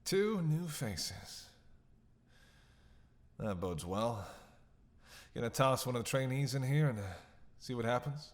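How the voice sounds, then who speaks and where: A man's voice: mid-range voice, lively intonation, takes it slowly, pauses often. A man playing a snarky, defiant captive vampire in a roleplay audio drama, sarcastic, teasing and theatrical, with a drawl. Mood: sardonic, playful.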